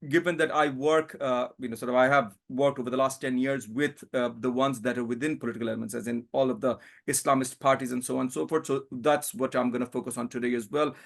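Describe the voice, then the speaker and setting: A man's voice: medium pitch, steady tone, fast talker, vocal fry, hardly any pauses. Man giving a hedging talk. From Bangladesh. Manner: thoughtful, hedging with frequent fillers. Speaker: panel speaker, academic. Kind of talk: conference presentation.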